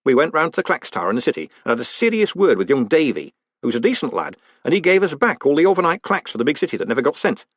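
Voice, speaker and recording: Small-town mayor, acted by a male narrator: little bird-like man, eager, folksy, deferential, pitched up, husky edge. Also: sing-song, fast talker, few pauses, no room sound. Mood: chummy, eager. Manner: folksy, deferential, repetitive colloquial grammar. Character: short, bird-like provincial mayor, nervous, eager, chummy tradesman.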